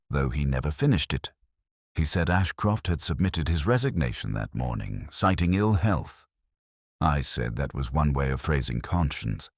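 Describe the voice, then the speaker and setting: Super deep guy narrating a detective story, slow and brooding, dead dry studio sound. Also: no room sound. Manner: measured, brooding, reflective. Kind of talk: scripted first-person detective narration.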